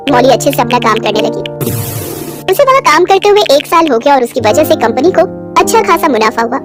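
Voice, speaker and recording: Woman, high-pitched, storyteller, scripted story narration, music behind. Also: sing-song, brisk.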